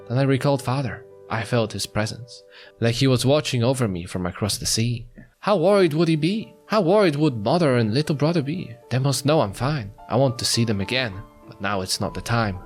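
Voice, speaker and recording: A man with a middle-range voice reads a visual novel aloud as the host of a playthrough. His narration is dramatic, and faint music plays behind him. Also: mid-range voice. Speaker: let's play host.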